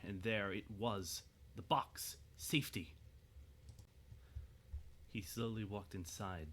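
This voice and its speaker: A man narrating a scripted dramatic story in a measured, suspenseful way. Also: sits low, slight background noise.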